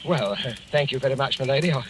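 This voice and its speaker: A man's voice: mid-range voice, fast talker, over a noisy crowd, close to an everyday voice. A man playing an anxious, deferential little salesman in an old-time radio drama, speaking hesitantly and with humble politeness. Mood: humble, grateful. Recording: noisy old radio recording.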